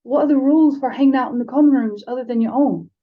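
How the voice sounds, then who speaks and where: A fretful young schoolboy played by a woman speaks anxiously and haltingly at a raised pitch. It sounds like a children's fantasy storybook, on a slightly dull recording. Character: nervous, fretful young schoolboy, easily winded.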